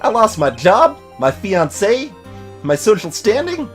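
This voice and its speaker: A man voicing a bitter, vengeful old man in a video game, speaking in a dramatic, deliberate way. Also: sits high, sing-song, soft background music. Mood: bitter confession.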